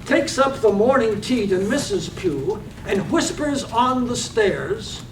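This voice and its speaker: Man as a high-pitched, slow poetic narrator. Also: big pitch swings, full character voice. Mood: lyrical, measured. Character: lyrical storyteller of a small village's morning. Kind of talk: poetic scripted narration, read on stage. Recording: echoey room, distant mic, faint noise.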